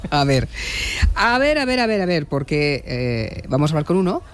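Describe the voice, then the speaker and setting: Playful male radio host, slightly high. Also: very animated, normal speed, smooth. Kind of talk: lively radio chat. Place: radio studio.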